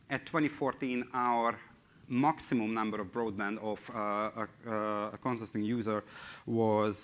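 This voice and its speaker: Hungarian-accented man, bright voice. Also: medium pitch. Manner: hesitant, many fillers, non-native phrasing. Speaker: conference speaker, engineering team lead. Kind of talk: tech conference presentation. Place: large conference hall stage.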